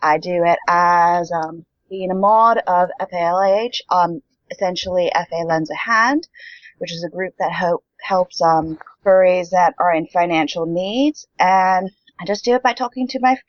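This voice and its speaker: A woman's voice: on the low side, dry recording. Polished, genteel American woman, dull muffled audio. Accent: mixed, placeless American. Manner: measured, polished, genteel upper-class delivery. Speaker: podcast panelist. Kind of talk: conversational panel discussion.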